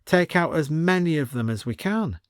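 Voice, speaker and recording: A man's voice: fairly high pitch, expressive, normal speed, clean tone, no room sound, studio quality, voice pushed up, lightly acted. A man voicing a bossy, take-charge woman leading her friends in an audiobook story. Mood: sheepish improvising. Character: bossy, impatient woman leading a ragtag band.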